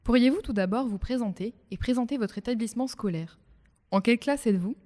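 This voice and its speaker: A woman hosting a student podcast, reading her questions clearly from a script. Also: fairly high pitch, quick pace, over loud music. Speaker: podcast host.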